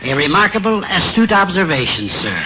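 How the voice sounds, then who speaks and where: Snooty sarcastic doorman, high voice, flowery wording, old noisy radio show. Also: big pitch swings, fairly quick. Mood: withering sarcasm. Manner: ornate, mock-admiring sarcasm. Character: pompous, sarcastic uniformed doorman. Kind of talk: 1940s radio comedy acting.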